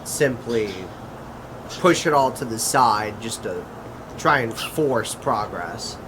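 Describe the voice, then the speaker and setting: A man speaking in a halting, drawn-out way, as if in amateur character drama dialogue. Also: medium pitch, animated, background noise.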